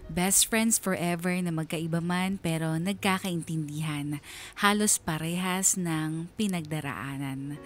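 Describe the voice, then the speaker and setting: A woman playing a young letter writer narrating her painful story in a measured, reflective way for a radio drama. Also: fairly low pitch, lightly acted.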